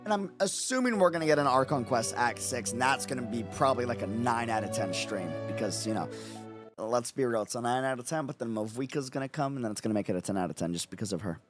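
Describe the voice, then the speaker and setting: A man streaming casually late at night, his voice raspy and hoarse from no sleep, rambling and repeating himself with lots of fillers. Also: medium pitch, lively intonation, fast, over music. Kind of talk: casual livestream chat.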